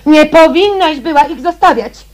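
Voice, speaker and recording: A woman's voice: high voice, expressive, moderate pace. An actress playing a bossy, polished lady employer with a firm manner in a radio play. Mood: bossy, reproving. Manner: firm, reproving.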